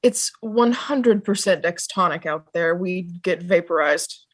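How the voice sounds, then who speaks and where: A woman playing a brisk, no-nonsense pilot in an amateur drama table read, matter-of-fact and dismissive. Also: fairly low pitch. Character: brisk, no-nonsense vehicle pilot keeping order. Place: online video call table read.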